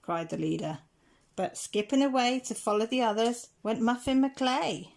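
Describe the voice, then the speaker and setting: A woman reading rhyming picture-book stories aloud in a measured, rhythmic way. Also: on the high side, gravelly. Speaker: children's story reader.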